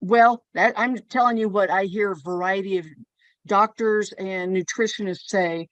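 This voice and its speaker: A woman hosting a relaxed talk show, chatting through personal stories. Her voice sits at an ordinary middle pitch. The sound is close and dry, with little room echo. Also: normal speed. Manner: chatty storyteller, personal anecdotes. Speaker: podcast host. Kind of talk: casual conversation.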